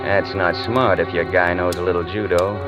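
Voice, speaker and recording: A man's voice: deep voice, steady tone, moderate pace, lightly acted. An actor playing a tough, wisecracking young man who narrates his story in a 1940s radio drama, with clipped, sardonic delivery. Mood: narrating. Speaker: hard-boiled narrator and lead. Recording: dull old radio broadcast with music.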